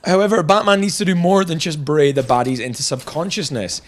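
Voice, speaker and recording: A man's voice: on the high side, brisk. A man narrating a scripted video game review with jokey, chuckling asides.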